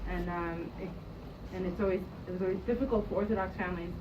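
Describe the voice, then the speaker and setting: A woman's voice: fairly low pitch, fast. Young woman from jerusalem recalling stuff, lots of ums, far off mic, kinda muffled and noisy. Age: young adult. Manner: hesitant, many ums and restarts. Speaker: interviewee, youth program staff member. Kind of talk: casual spoken recollection.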